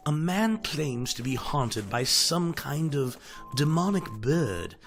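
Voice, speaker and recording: A man's voice: fairly high pitch, sing-song, takes it slowly, a bit bright, heavily acted. Earnest young assistant acted by a man. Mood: puzzled. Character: earnest, soft-hearted young male Victorian assistant. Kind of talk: storybook audio drama acting. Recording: music playing behind the voice.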